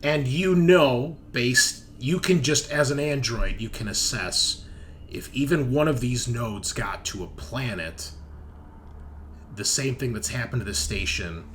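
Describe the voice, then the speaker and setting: A man narrating a sci-fi horror tabletop game as game master, speaking haltingly and thinking aloud with pauses. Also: lowish voice, a bit bright, slight background noise.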